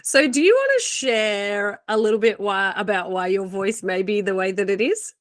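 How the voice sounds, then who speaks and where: A woman's voice: medium pitch, expressive, moderate pace, no echo, studio quality. Playful woman podcast co-host. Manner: playful, teasing, laughs often. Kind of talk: casual chat.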